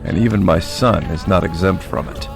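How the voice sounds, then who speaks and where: A man's voice: sits low, normal speed, gravelly, over music. An actor playing a domineering, crude and sarcastic crime-boss father in a radio drama, mocking and menacing. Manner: mocking, menacing, theatrical outbursts.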